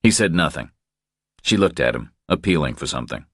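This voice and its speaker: A man narrating a thriller audiobook in a measured, terse, deadpan way. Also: a bass voice, no room sound, clean studio sound.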